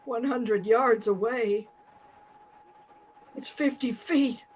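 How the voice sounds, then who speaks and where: A man playing a grave, resolute radio announcer reporting a doomed city in halting, clipped phrases, in 1930s radio play style. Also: very high-pitched, a little hiss or hum, exaggerated voice. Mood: dread. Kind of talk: 1930s radio play news bulletin acting.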